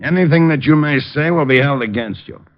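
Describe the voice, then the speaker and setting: Actor as deadpan radio police lieutenant. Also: male voice, fairly high pitch, fairly quick, natural voice. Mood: official, firm. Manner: blunt, deadpan, official. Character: dry, stolid male police homicide lieutenant. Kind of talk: 1940s-50s radio crime drama acting. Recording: muffled old radio broadcast.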